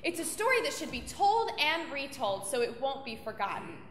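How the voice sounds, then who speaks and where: A woman's voice: sits high, sing-song, normal speed, a bit bright, a little hiss or hum. A woman who sings mezzo-soprano narrates a storybook tale on stage, stressing words vividly for young listeners. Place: opera house stage, live show. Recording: echoey hall with piano.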